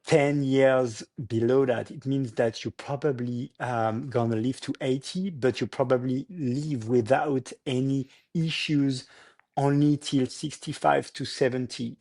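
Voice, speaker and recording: A man, speaking in a middle-pitched voice. He talks as a guest in a relaxed interview, pausing often and searching for his words in English. He chuckles now and then as he explains his company. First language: French. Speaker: startup founder guest. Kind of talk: casual interview conversation.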